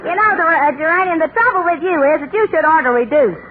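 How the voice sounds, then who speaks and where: A woman's voice: extremely high pitch, animated, fast talker, some chatter behind. An actress playing a brash, vain, man-chasing Southern woman with a folksy, emphatic delivery in 1940s radio comedy style. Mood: boastful, teasing. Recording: noisy, tinny old radio broadcast with audience.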